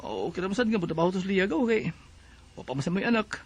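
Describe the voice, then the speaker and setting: Joking bachelor cousin, young, Cebuano accent, high voice, sly radio drama sidekick. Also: male voice, lively intonation, fast talker, husky. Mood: agreeable. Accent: Filipino, Cebuano.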